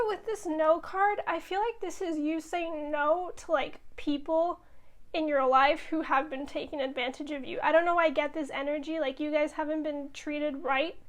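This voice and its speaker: High-voiced woman losing her voice. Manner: reassuring, addresses viewers with frequent "okay?". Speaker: tarot reader. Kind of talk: casual tarot reading to camera.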